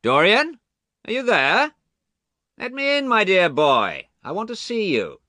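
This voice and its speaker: Man doing a persuasive society gentleman, coaxing, theatrical, raised pitch, very swooping melody, dry audiobook sound. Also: wildly swooping pitch, a little slow, no room sound, exaggerated voice. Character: suave, worldly gentleman friend, persuasive. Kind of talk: audiobook character reading.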